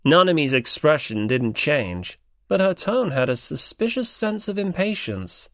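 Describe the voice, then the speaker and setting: Guy reading audiobook narration, animated pitch swings, dry close mic sound. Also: a little slow, dry recording. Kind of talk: scripted story narration.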